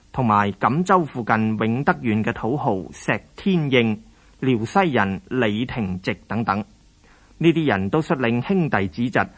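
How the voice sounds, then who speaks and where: A man with a Hong Kong Cantonese accent, reading scripted historical narration as a radio drama narrator. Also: mid-range voice.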